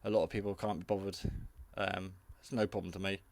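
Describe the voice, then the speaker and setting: Low-pitched man giving a casual gadget demo. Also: flat delivery, fairly quick, gravelly, no echo. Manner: hesitant, rambling, matter-of-fact. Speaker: hobbyist radio reviewer. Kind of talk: casual hands-on product demonstration. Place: garage.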